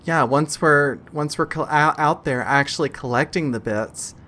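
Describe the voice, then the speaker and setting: A game master improvising casually, feeling out ideas aloud with many pauses and second thoughts. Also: mid-range voice, bright, forceful tone. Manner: hesitant, thinking aloud.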